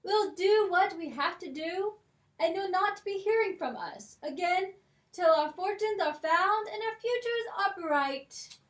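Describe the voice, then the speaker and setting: A brave, earnest young girl, as a woman acts her out for a puppet show, speaking very high and slowly. The sound is roomy and set back from the mic. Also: lively intonation. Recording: echoey room, distant from the mic.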